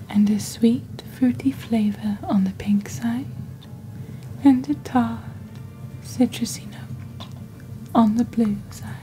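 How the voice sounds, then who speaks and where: A woman acts out a calm witch hosting a magical shopping channel in soft ASMR. Her voice is breathy and nearly whispered, very dark and mellow, with slow, deliberate phrasing. It is recorded close on the mic over faint background noise. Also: on the high side, low-quality recording. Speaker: host of an ASMR roleplay channel. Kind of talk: soft-spoken ASMR scripted product presentation. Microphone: close desk microphone.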